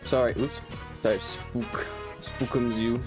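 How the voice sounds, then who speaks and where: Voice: mid-range voice, level tone, a little slow, music in the background. A streamer chatting casually and informally to a live audience, rambling and thinking aloud. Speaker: streamer and ASMR video creator hosting a livestream. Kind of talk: casual livestream chat.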